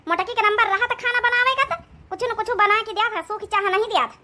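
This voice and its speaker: A woman's voice: very high voice, fast, very noisy. A woman with an Awadhi accent voicing a grumbling, scolding old village mother-in-law in a comedy cartoon, complaining and sarcastic.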